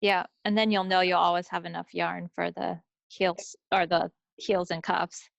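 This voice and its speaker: A woman's voice: lowish voice, level tone, moderate pace, creaky, no echo, highs cut off. A woman co-hosting a craft podcast in a casual, conversational style. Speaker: podcast co-host. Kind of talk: casual chat about craft projects.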